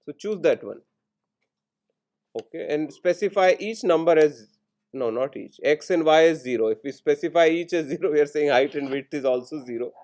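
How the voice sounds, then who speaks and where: A man lecturing in programming, explaining each step in short instructional phrases with pauses between them. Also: a little high, darkish tone. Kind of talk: step-by-step classroom coding tutorial.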